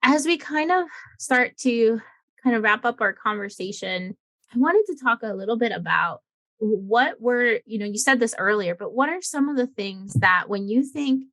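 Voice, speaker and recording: A woman's voice: on the high side, animated, a little slow, fairly bright tone, no room sound. A woman co-hosting an interview podcast, speaking casually and affirmingly, feeling her way through her phrasing. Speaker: podcast co-host.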